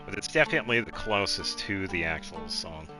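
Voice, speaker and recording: A man's voice: low voice, animated, a little fast, over music. A man streaming a video game, chatting in a casual, easygoing and rambling way. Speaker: game livestreamer. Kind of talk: casual chat while playing a game.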